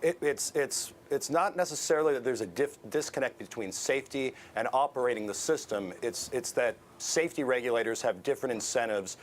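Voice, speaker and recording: Halting American man, policy expert. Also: medium pitch, slight background noise. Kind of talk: explanatory news interview.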